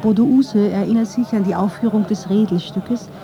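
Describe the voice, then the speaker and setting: A woman's voice: mid-range voice, normal speed, dull audio, music in the background. A woman reading scripted narration for a radio documentary.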